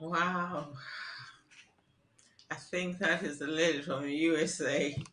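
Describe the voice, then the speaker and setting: Earnest man, bright and roomy. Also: fairly high pitch, steady tone, slight background noise. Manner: earnest, deliberate, with restarts. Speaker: talk show host. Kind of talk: political call-in talk show. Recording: roomy echo.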